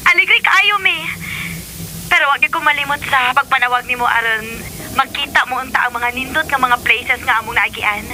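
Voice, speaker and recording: An actress playing an excited, loving young daughter in a radio drama, bubbly and sweet, slipping into English phrases. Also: female voice, sits high, moderate pace. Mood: happy, affectionate. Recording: hissy, noisy radio drama audio.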